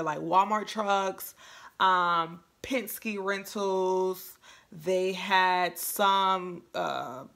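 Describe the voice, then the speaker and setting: A woman's voice: lowish voice, vocal fry, pushed and bright. A woman in her thirties chatting casually to camera as a vlogger, recorded indoors in a room at home. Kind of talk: casual chat to camera recounting an experience.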